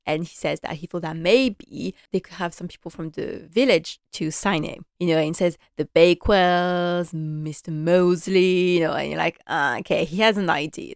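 A woman's voice: fairly low pitch, smooth, no echo, clean studio sound. A young woman chatting through a casual episode recap, enthusiastic and full of "like" and "you know" fillers. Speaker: podcast host recapping a TV drama.

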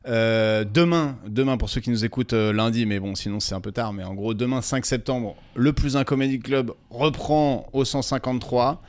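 A man's voice: medium pitch, moderate pace, hardly any pauses. A man, co-hosting a comedy podcast in casual, teasing, slangy banter. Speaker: podcast co-host.

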